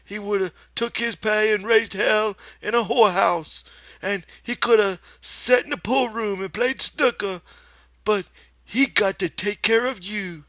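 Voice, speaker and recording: A man voicing a sharp-tongued old aunt who scolds in a high, shrill voice. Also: a little slow, no echo. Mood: scolding.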